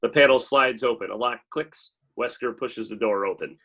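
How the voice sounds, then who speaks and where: A man narrates stage directions for a script read. The voice is mid-pitched with a rough, husky edge. The delivery is plain scripted narration. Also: moderate pace, sharp tone.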